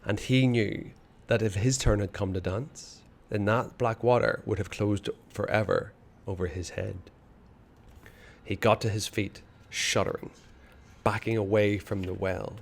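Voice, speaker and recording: A man reading a children's novel aloud, expressive and hushed in the dark passages. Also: lowish voice, unhurried, gravelly. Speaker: storyteller.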